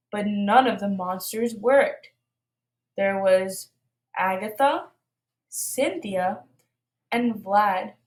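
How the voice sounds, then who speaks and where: A woman reading a children's storybook aloud with expressive narration, addressing young listeners. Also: medium pitch, roomy sound, rough recording. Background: light music.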